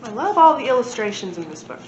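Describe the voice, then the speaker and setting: A woman's voice: big pitch swings, fast talker. Woman reading aloud in an echoey room. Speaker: library storyteller. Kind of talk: expressive children's read-aloud. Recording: echoey room, muffled, noisy low rumble.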